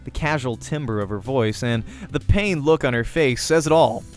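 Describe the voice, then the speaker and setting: A man's voice: mid-range voice, normal speed, soft background music. A man reading a visual novel aloud as a let's-player, narrating the game story expressively.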